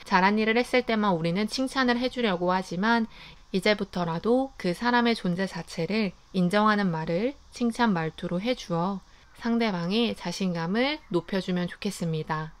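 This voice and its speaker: This is a woman narrating a book review from a script. Her voice is smooth and resonant, at an ordinary middle pitch. She reads calmly and evenly, with no hurry. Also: moderate pace, hardly any pauses. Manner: calm, even reading of summaries and reflections.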